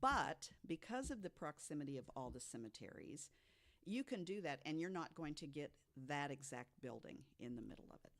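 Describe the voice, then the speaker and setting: A podcast co-host telling stories from notes to the others in a deliberate way, with emphatic asides. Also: mid-range voice, very animated, fairly bright tone. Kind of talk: storytelling from notes to co-hosts.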